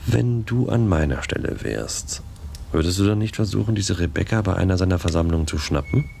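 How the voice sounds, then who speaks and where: An actor playing a domineering, scheming police inspector in a radio play, needling and coaxing with leading questions. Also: male voice, deep voice, expressive, a little fast, creaky, darkish tone, slight background noise. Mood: coaxing, insinuating.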